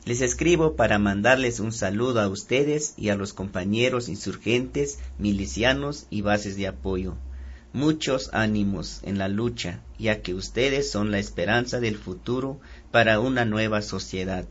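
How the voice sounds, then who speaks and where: Low-voiced man reading radio messages. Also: unhurried, breathy edge. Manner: calm, even reading. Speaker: radio announcer. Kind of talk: reading listener messages aloud. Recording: dull lo-fi radio with faint hiss.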